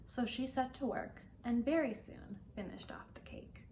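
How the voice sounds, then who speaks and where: A woman teacher reading and talking to language learners, expressive and carefully enunciated. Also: on the high side, measured pace, whispered. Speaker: host and reader, English teacher. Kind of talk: storybook read-aloud for language learners.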